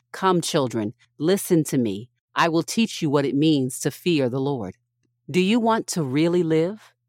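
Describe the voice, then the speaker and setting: A woman reading scripture aloud as a podcast host, in a measured, deliberate way with clearly phrased short lines. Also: deep voice, a little mellow, dry recording, clean studio sound.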